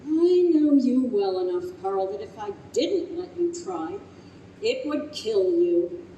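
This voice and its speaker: A woman playing a fond, exasperated wife in an old-time radio play, theatrical and expressive. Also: on the high side, slow pace. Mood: pleading. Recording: echoey noisy live stage, distant microphone.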